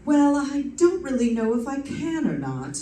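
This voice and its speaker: A woman's voice: sits high, big pitch swings, normal speed, warm tone, slight background noise. Woman acting wry radio lady detective, roomy and distant. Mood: businesslike, wry. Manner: sardonic, snappy wisecracking delivery. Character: wry, quick-witted female private detective narrating her case. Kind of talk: old-time radio detective drama acting. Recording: roomy, distant stage pickup.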